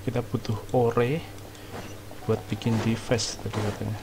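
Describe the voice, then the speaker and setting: A man's voice: on the low side, flat delivery, moderate pace, background noise. A man giving casual, slangy commentary as he hosts a game playthrough. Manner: informal, slangy. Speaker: gaming video host. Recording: game music behind the voice.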